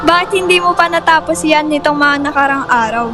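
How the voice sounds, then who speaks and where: A woman's voice: high-pitched, brisk, music in the background, close to an everyday voice. A woman playing a demanding, scolding mother in an amateur radio drama.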